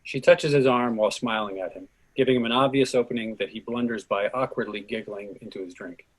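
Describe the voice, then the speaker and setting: A man's voice: on the low side, brisk, husky, rough recording. A man reading scripted stage directions and character notes aloud in narration.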